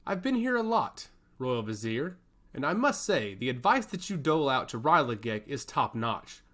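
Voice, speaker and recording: A man's voice: medium pitch, sing-song, moderate pace, a bit bright. A man voicing a smug, menacing servant of a sea god in an audio story, ominous and mock-polite. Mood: taunting, persuasive.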